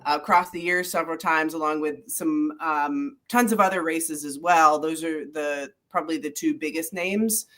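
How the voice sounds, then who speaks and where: A woman's voice: low-pitched, fairly even intonation, moderate pace, hardly any pauses, fairly bright tone. A middle-aged woman with a head cold, sounding congested as she co-hosts a running podcast in easygoing, good-humored banter. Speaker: podcast co-host. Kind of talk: casual chat.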